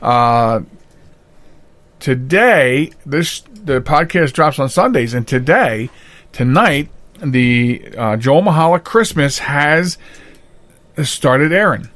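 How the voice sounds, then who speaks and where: A man's voice: mid-range voice, animated, slow pace, a bit bright, no room sound. An American man, middle-aged or older, hosting a podcast in loose, unscripted, conversational chat. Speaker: podcast host. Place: home studio.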